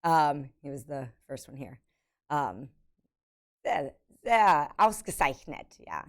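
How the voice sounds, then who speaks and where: A woman's voice: low-pitched, sing-song, takes it slowly. An American woman streamer in casual live chat, rambling with many ums and self-mocking laughter. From United States.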